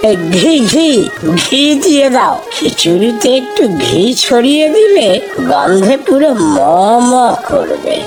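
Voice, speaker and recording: A woman voicing a food-loving family father in a cartoon, homely and expressive. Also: sits high, takes it slowly, over music, heavily acted, low-quality recording. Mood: cheerful, musing. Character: food-loving family father, cheerful but easily sulky. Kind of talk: cartoon dialogue.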